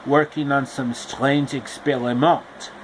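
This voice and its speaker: A man's voice: medium pitch, steady tone, slow pace, a little hiss or hum. A man retelling a fairy tale in a loose, rambling casual narration with restarts. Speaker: storyteller. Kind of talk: casual improvised story retelling.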